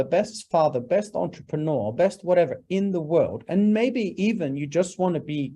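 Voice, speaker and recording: Forties male guest from Norway, mellow tone, slightly higher pitch, earnest and preacherly. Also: breathy edge. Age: 40s. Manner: earnest, preacherly, emphatic lists. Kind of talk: interview, motivational talk.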